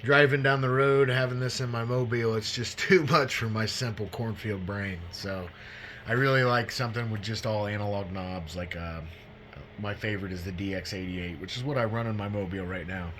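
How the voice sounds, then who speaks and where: Male hobbyist demonstrator, lower register, folksy and self-deprecating, off-the-cuff delivery, faint noise behind. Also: steady tone, brisk, fairly bright tone. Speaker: radio hobbyist demonstrating a used CB radio. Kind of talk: casual unscripted product walkthrough.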